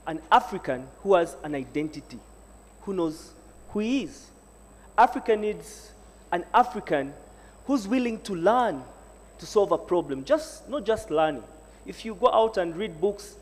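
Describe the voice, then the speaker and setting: Man, high voice, exhortative speech with repeated calls to action, heard with long hall reverb. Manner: exhortative, repeats "Africa needs an African". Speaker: panel speaker. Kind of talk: rousing public speech. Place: large university hall.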